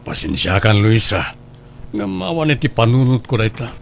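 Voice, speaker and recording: An actor with an Ilocano accent playing a soft-spoken, apologetic middle-aged widowed father who likes the ladies, in a radio drama. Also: medium pitch, big pitch swings, normal speed, vocal fry, a little hiss or hum. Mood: apologetic, firm.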